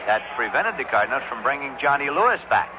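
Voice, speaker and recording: A man's voice: mid-range voice, very animated, quick pace. A man calling baseball play-by-play as a live radio commentator. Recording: dull old radio broadcast, loud crowd noise. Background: loud stadium crowd.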